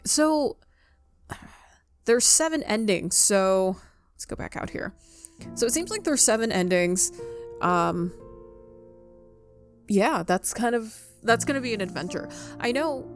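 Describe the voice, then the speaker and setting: A woman giving casual, chatty gameplay commentary, talking affectionately to her viewers as host of her channel. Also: mid-range voice. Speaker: video game streamer, channel host.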